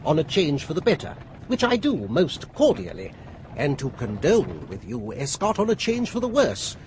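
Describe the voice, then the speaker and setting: An actor playing a genial, fence-sitting gentleman philosopher in a period radio drama, with a British accent and urbane, wordy wit. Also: on the high side, wildly swooping pitch, moderate pace, a little hiss or hum. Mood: wry, genial.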